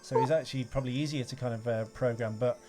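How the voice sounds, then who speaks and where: A man's voice: medium pitch, fairly even intonation, fast, darkish tone. A man co-hosting a podcast, chatting casually about films. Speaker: podcast co-host. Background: background music.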